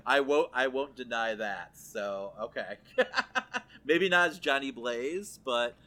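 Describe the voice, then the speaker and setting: A man's voice: mid-range voice, expressive, slow pace, sharp tone. A man co-hosting a podcast, chatting casually and thinking aloud as he goes. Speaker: podcast co-host. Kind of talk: casual chat about comic book films.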